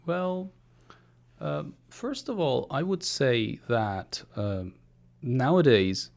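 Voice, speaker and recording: A man's voice: medium pitch, expressive, very slow, gravelly, darkish tone. A man on a discussion panel who explains his point by posing questions and answering them himself. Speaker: guest panelist.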